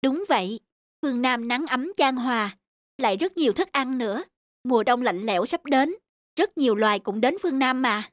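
An actor voicing a gentle, cautious female wild duck in a children's cartoon fable, explaining things patiently. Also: sits high, expressive, normal speed, no room sound, studio quality. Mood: friendly.

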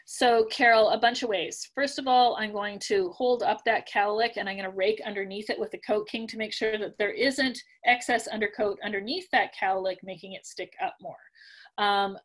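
A middle-aged woman teaching dog grooming over a webinar video call from home, chatty with many fillers and clearing her throat as she loses her voice. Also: medium pitch, fairly even intonation, moderate pace. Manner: chatty, many ums and likes, practical. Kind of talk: casual webinar teaching, answering questions. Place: home room. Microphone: laptop webcam video call.

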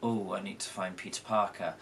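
Young male co-host, deep and croaky, unhurried, rambling, faint background noise. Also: fairly even intonation, a bit bright. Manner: rambling, self-correcting. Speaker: co-host vlogger. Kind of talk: casual film review chat. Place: bedroom at home.

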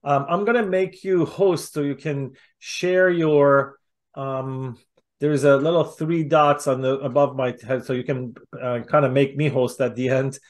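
A man's voice: medium pitch, steady tone, measured pace. A middle-aged man hosting a casual interview over a video call. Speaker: host, interviewer. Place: indoor room on a video call. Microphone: video call microphone.

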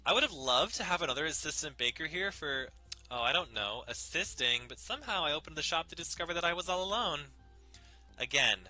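Give the visual novel an exasperated young woman baker, played by a man. The voice is lifted and very bright, with a sarcastic, scolding edge. Faint music plays underneath. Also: on the high side, lively intonation, a little fast, voice pushed up, exaggerated voice. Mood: exasperated sarcasm.

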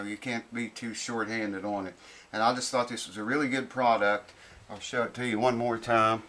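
A middle-aged man giving a casual hands-on review to camera, informal and unhurried, thinking aloud as he goes. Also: mid-range voice, fairly bright tone. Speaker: product reviewer. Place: small cluttered indoor workshop room.

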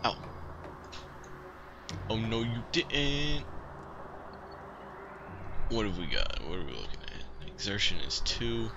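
A man's voice: fairly low pitch, sing-song, slow pace, creaky, pauses often, dull audio, background noise. A young man hosting a casual let's-play video, wry and barking playful orders at the characters, with chiptune music and a shower going in the background. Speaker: gaming video host. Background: chiptune music playing and a shower running.

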